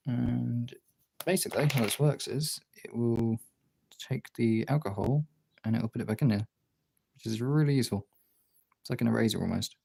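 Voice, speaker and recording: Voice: mid-range voice, vocal fry, noisy background. Someone giving a casual hands-on art tutorial, rambling and thinking aloud while working.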